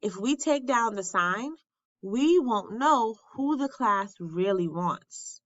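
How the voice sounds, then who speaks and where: A woman's voice: on the high side, lively intonation, slow, no echo, highs cut off. A woman reading the part of an earnest, fair-minded third-grade boy in a storybook, thoughtful and sincere.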